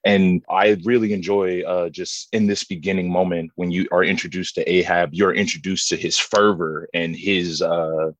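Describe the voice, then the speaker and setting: A man's voice: low-pitched, level tone, moderate pace, hardly any pauses, dry recording. A man chatting casually as an interview guest, informal and thoughtful.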